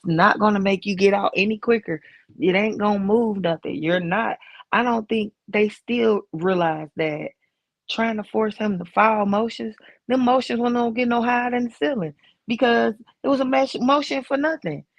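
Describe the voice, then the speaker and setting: A woman's voice: mid-range voice, moderate pace, dry recording, dull audio. An American woman talking emphatically in a casual panel chat, repeating her points to drive them home. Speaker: panelist on a commentary livestream. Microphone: video-call livestream.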